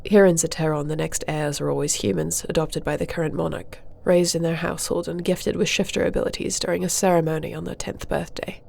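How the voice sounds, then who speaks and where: Woman acting dutiful warrior princess, lowish voice, some creak, measured diplomatic delivery, fantasy audio drama. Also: fairly even intonation, darkish tone, exaggerated voice. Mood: matter-of-fact. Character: formal, dutiful warrior princess and dragon shifter. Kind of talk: scripted audio roleplay acting.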